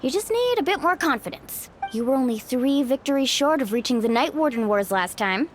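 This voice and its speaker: A woman's voice: high voice, lively intonation, fairly quick, a little hiss or hum. A woman playing an upbeat, encouraging young woman warrior in an anime game, with a youthful, confident voice. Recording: music behind.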